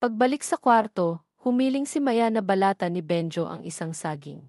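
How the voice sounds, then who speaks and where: A woman with a Filipino accent reading scripted story narration in a formal, measured manner. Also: medium pitch, a little mellow, dry recording, studio quality.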